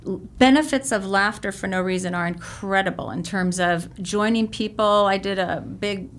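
Woman guest, animated storyteller, laughs easily, casual chat. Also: medium pitch, moderate pace. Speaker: guest, singer and laughter workshop leader.

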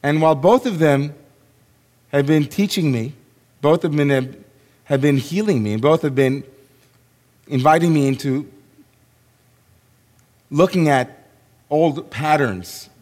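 A man, a rabbi, giving a sermon in a slow, reflective way with long pauses and a voice gone hoarse. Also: on the high side, darkish tone. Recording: long hall reverb.

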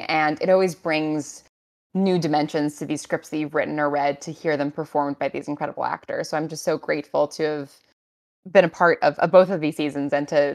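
A woman's voice: sits low, darkish tone. A woman who writes drama, speaking enthusiastically and articulately on a discussion panel.

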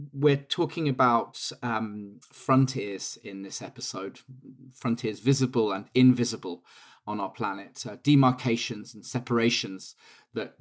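A man hosting a podcast, reading scripted narration in a measured way, then interviewing a guest. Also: medium pitch, dry recording, studio quality. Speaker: podcast host.

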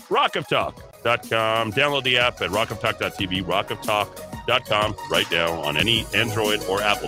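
Opinionated radio host guy, reads quotes and scoffs at them, very bright tense tone. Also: fairly low pitch. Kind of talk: talk radio commentary. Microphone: studio broadcast mic.

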